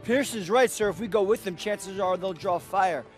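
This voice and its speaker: Guy playing a young american army private, high-ish voice, wary, bit of music behind. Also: normal speed, close to an everyday voice. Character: cautious young American private questioning orders. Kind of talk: video game cutscene acting.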